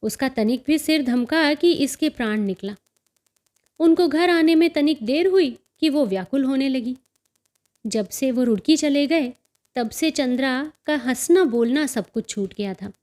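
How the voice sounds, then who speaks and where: A woman reading a literary story as an audiobook narrator, in an expressive, enunciated way. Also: high voice, a little slow.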